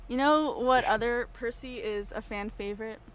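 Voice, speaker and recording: A woman chatting casually on a livestream, expressive and given to playful exclamations. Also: on the high side, moderate pace, rough recording. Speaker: livestream guest on an art work stream. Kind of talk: casual banter. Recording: noticeable background noise.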